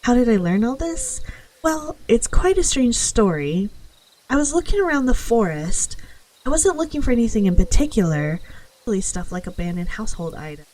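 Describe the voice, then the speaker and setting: A woman's voice: a little high, sing-song, normal speed, dark tone, rough recording. A woman playing a friendly, confident witch who chats reassuringly to the listener in an ASMR roleplay. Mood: reflective, storytelling. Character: friendly, confident female forest witch, eager mentor.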